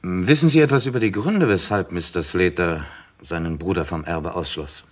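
An actor playing a composed, sceptical police chief inspector in a German radio crime drama, asking measured, dry questions. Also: male voice, sits low. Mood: measured, probing.

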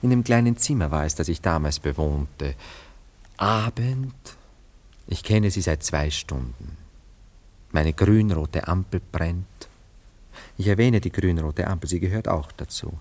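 A low male voice in the manner of a radio drama. He is a wistful, self-absorbed romantic who muses theatrically over old loves and savors his words. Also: expressive, measured pace, darkish tone. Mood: dreamy, reminiscing. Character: nostalgic, self-absorbed romantic man recalling past love affairs. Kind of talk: German radio play acting.

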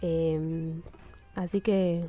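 A woman's voice: lowish voice, little pitch movement, extremely slow, muffled recording, soft background music. A woman, speaking casually and hesitantly as a radio guest. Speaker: radio play writer and guest. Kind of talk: casual interview chat. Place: radio studio.

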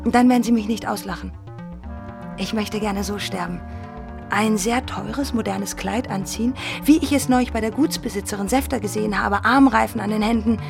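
Naive peasant girl for radio play, played by a woman: creaky mid voice, earnest, morbidly dreamy, music underneath. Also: mid-range voice, normal speed, close to an everyday voice. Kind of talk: German radio drama acting. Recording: music behind the voice.

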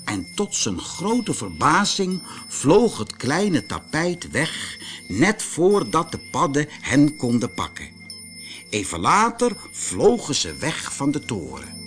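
A male storyteller reads a children's fairy tale. He takes his time, with a fairly high voice that rises and falls dramatically. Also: huge pitch swings, takes it slowly, fairly bright tone, soft background music.

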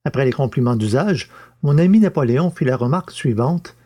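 A man's voice: medium pitch, expressive, a little fast, warm tone. A man reading scripted audiobook narration as the presenter and narrator.

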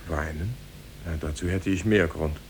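An actor playing a humble, lovesick young merchant's son, earnest and deferential, in a 1950s German radio play. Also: male voice, moderate pace, darkish tone. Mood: sorrowful, yearning. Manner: deferential, solemn, fervent. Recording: 1950s radio recording with faint rumble.

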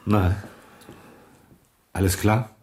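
An actor playing a terse police colleague in a radio drama, casual and businesslike. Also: male voice, on the low side, fairly even intonation, extremely slow, darkish tone, slight background noise. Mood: curious, businesslike. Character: terse male police colleague wanting a summary. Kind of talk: 1980s German radio drama acting.

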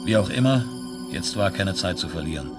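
An actor playing a detached narrator of a science fiction radio play, telling it in a factual, report-like manner. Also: male voice, low voice, animated, brisk. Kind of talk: scripted narration, 1970s German radio drama. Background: electronic music.

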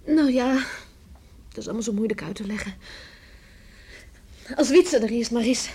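High-voiced, earnest young farm daughter. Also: female voice, normal speed, warm tone, slightly rough. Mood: concerned. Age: young adult. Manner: eager, earnest, persuasive. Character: earnest, hopeful young farmer's daughter, protective and bright-spirited. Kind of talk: Dutch radio play acting. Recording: noisy old radio play recording.

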